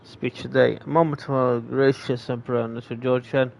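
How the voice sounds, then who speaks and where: A man playing a game, reading the on-screen text aloud in a slow, hesitant way and pausing to explain words. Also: medium pitch, level tone, creaky, darkish tone, a little hiss or hum, rough recording. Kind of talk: casual gameplay commentary.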